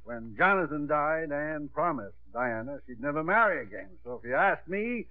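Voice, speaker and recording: A man's voice: a little high, expressive, normal speed, lightly acted. An actor playing a blunt, nosy old grandfather with a dry, needling manner in a 1950s radio drama. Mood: wry. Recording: narrow, tinny 1950s radio broadcast.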